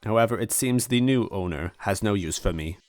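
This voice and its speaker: A man's voice: fairly low pitch, fairly quick, no echo, natural voice. A man voicing a lonely, apologetic old supercomputer in a story, speaking in formal, precise wording. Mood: formal, resigned.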